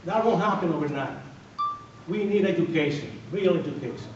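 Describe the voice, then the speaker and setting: A man's voice: a little high, expressive, normal speed, noisy background. A man from the Dominican Republic with a Dominican Spanish accent, a native Spanish speaker giving an emphatic campaign speech at a candidates forum, repeating his phrases and building to firm declarations. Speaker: mayoral candidate. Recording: distant, echoey, dull radio recording.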